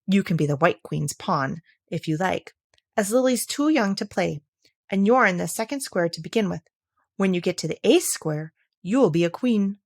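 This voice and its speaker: A woman's voice: fairly low pitch, expressive, normal speed, darkish tone, no echo, studio quality. A woman voicing a bossy yet good-natured chess queen from a storybook, exclamatory, imperious and matter-of-fact. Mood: brisk, good-natured.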